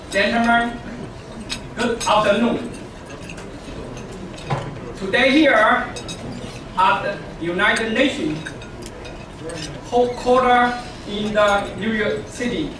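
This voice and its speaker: A woman from China speaking English with a Chinese accent, giving a formal conference speech, slow and halting as she searches for words. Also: on the high side. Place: large conference hall. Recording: echoey hall, distant mic, noisy.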